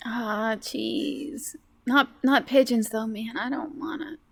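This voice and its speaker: A woman improvising casual, drawn-out reactions as a player in a roleplaying game. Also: on the high side, fairly even intonation, creaky, breathy edge. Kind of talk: casual in-character improvised chat.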